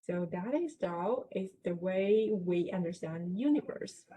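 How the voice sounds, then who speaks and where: A woman's voice: on the low side, lively intonation, measured pace, mellow, a little hiss or hum. A woman from China with a Chinese accent, giving a talk at a library book event; she explains concepts step by step, checks in with her listeners and laughs lightly. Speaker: guest lecturer.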